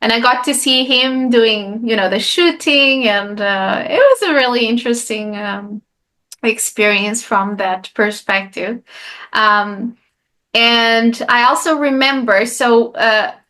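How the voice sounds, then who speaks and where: A woman's voice: fairly high pitch, slow, sharp tone. A woman from Portugal, a native Portuguese speaker, talking as a guest in a casual interview. She leans on fillers and often tags her sentences with "right?". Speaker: guest, life coach.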